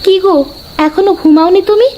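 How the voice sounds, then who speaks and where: A woman voicing a farmer's worried, supportive wife in a cartoon, gently consoling. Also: extremely high pitch, moderate pace, muffled recording, slight background noise. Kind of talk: cartoon character dialogue.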